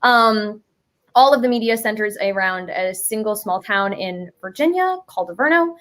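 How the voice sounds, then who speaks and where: A woman's voice: medium pitch, expressive, moderate pace, fairly bright tone. A young adult woman chatting casually as an interview guest, explaining her creative work in a giggly, slangy, playful way.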